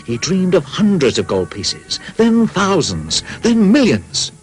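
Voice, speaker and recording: A man's voice: sits high, wildly swooping pitch, slow pace, faint music behind. A man narrating a cartoon fairy tale in a scripted storybook style.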